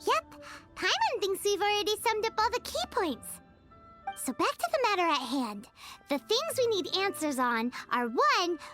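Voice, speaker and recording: A woman voicing a small, cute, curious fairy companion in a video game, with a squeaky childlike voice and expressive delivery. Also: heavily acted. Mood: determined, chirpy. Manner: refers to self in third person, exclaiming, expressive. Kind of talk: video game character dialogue.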